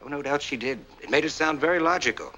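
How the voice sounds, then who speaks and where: An actor in a 1940s film noir playing a self-assured war-veteran husband who explains things in a measured, polite, controlled way. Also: male voice, on the high side, sharp tone. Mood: controlled, persuasive. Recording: old 1940s film soundtrack.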